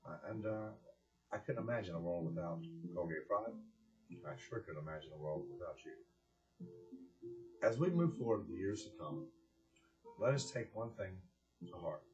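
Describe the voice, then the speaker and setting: A man speaking earnestly and deliberately with pauses, as a presenter giving a heartfelt address and storybook reading. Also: sits low, animated, dull audio. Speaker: director of a community organization, presenter.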